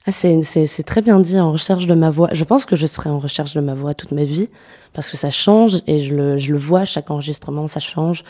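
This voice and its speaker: A young woman singer-songwriter answering interview questions, speaking softly with many hesitations and restarts. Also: deep voice, a little fast. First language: French. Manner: soft-spoken, hesitant, many fillers and restarts. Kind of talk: radio interview conversation.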